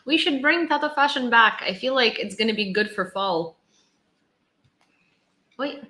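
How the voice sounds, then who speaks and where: Young woman from Ukraine, livestream host, chatty and rambling, bright voice, echoey room. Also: on the high side, animated, a little slow. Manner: chatty, rambling, many fillers and restarts. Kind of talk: casual livestream chat, reading viewer comments.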